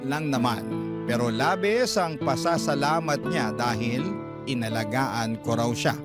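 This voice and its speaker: A man's voice: medium pitch, measured pace. A man narrating a letter in the first person as a radio drama storyteller. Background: loud plucked guitar music.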